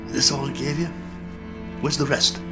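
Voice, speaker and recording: A man's voice: medium pitch, level tone, moderate pace, loud music behind the voice, close to an everyday voice. An actor playing a battered male fight manager in an old radio drama, stubborn and rambling while in pain. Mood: suspicious.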